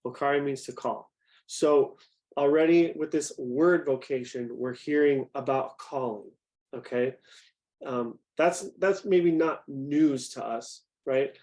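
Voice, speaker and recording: A man's voice: medium pitch, slow, a little mellow. Man leading an interactive faith talk. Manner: conversational, asks the audience questions. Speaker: youth program director.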